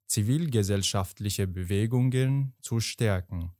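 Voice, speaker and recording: Podcast co-host, male, slow and measured, a bit low, dry room. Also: darkish tone, no room sound. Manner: measured and deliberate, stretching words. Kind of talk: podcast conversation, partly reading a quote aloud.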